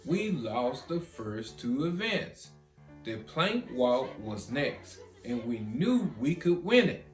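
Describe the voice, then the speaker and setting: A man's voice: mid-range voice, sing-song, slow. A man voicing a proud, boastful big sister who recounts field day in a storybook. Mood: proud, excited. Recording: roomy echo, guitar music behind.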